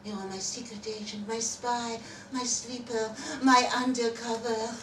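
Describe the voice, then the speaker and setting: A woman's voice: on the high side, level tone, a little slow, fairly bright tone. A woman voicing a wry, dramatic French wife confessing secrets to her lover in a live story reading. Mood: tender, wistful. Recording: roomy, distant festival mic with background noise.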